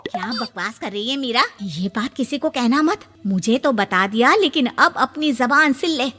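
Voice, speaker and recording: A woman's voice: on the high side, lively intonation, moderate pace, a bit bright. A woman voicing a disapproving middle-aged mother who scolds and questions sharply in a family story. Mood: disapproving, scolding. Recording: long reverb, music bed.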